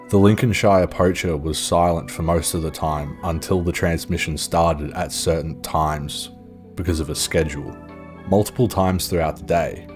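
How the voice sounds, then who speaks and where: Deep-voiced man narrating casually over music. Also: darkish tone. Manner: informal, offhand. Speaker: video host and narrator.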